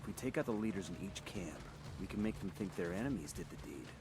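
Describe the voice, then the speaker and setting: An actor playing a special forces squad leader who talks in clipped, tactical lines. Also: male voice, deep voice, expressive, fast talker. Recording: game audio with very loud background noise.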